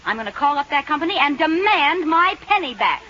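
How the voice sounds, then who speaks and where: Feisty housewife, high-pitched, stubborn streak, muffled vintage radio comedy. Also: female voice, big pitch swings, brisk, full character voice. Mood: indignant, defiant. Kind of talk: 1940s radio comedy acting. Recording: muffled old radio recording, loud noise.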